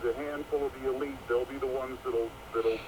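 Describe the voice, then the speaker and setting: Man on a radio show rambling on, lots of "you know", loud shortwave hiss behind him. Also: medium pitch, fairly even intonation, fairly quick. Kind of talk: casual talk-radio discussion. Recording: shortwave radio broadcast with heavy static.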